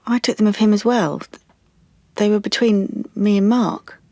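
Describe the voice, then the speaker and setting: A young woman played by an actress in an audio drama, anxious and wounded. She confides in a halting, stumbling way, guarded about herself. The sound is close and dry, with no room around her. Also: mid-range voice, steady tone, warm tone, dry recording, lightly acted. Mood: defensive. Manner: halting, confiding, with stammered restarts. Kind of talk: audio drama monologue and dialogue.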